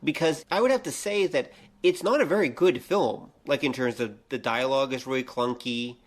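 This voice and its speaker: A man with a medium-pitched voice speaks as a podcast co-host. His delivery is informal and conversational, in the manner of easy back-and-forth banter. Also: normal speed.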